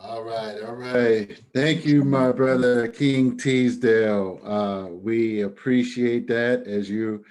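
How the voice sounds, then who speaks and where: A man's voice: mid-range voice, fairly even intonation, takes it slowly, few pauses, a little mellow. A man hosting an event and introducing the next speaker, friendly, with fillers. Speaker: host.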